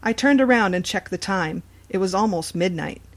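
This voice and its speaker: A woman's voice: mid-range voice, animated, moderate pace. A woman reading a scripted first-person horror story as its narrator.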